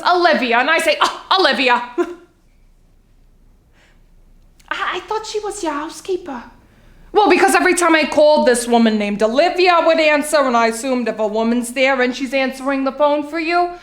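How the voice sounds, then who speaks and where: A woman acts out a middle-aged Long Island housewife in a comic theatrical monologue. Her voice is high, and she confides in a nervous, candid, rambling way with hesitant asides. The room around her is echoey.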